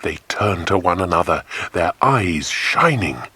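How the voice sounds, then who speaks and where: A man narrating a children's adventure story in an expressive, scripted audiobook style. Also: deep voice, unhurried, slightly rough, no room sound.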